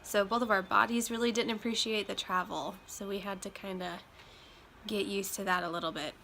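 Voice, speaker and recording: A woman's voice: mid-range voice, fairly even intonation, brisk, vocal fry, sharp tone. A young woman from Iowa, slightly nasal from a chest cold, chatting casually to camera with plenty of ums. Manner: chatty, many ums, loose and rambling. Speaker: vlogger. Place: home room with windows open. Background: faint road traffic and wind chimes.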